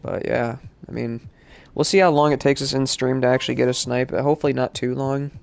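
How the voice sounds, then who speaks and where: Congested guy streaming a game, flat gravelly voice, rambly w/ lots of fillers. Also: mid-range voice, a little fast. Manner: casual, rambling, many fillers. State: congested from allergies. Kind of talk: live-stream gaming chat.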